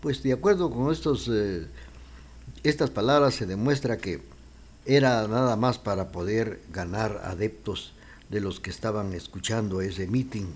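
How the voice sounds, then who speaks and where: A man with a Guatemalan accent hosting a radio show, talking between songs in a relaxed, conversational way with thinking pauses. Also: lowish voice, slight background noise. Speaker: radio host.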